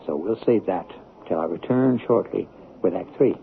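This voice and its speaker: A man's voice: deep voice, moderate pace, vocal fry, a little hiss or hum. An American man hosting a radio mystery series with dramatic scripted narration. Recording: tinny 1970s radio recording.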